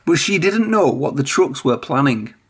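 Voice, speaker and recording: Husky man reading a children's story. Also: fairly high pitch, very animated, moderate pace, fairly bright tone. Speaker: storybook reader. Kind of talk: children's read-aloud narration.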